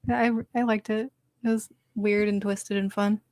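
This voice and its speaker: A woman's voice: medium pitch, flat delivery, unhurried, darkish tone, dry recording, studio quality. A woman chatting casually as a panelist in a film review discussion. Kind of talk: casual chat.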